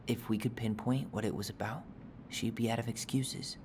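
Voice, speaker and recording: A man's voice: mid-range voice, steady tone, normal speed, noisy background, natural voice. An actor playing a guarded, wry young man in a naturalistic video game performance. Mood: puzzled, probing. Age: young adult.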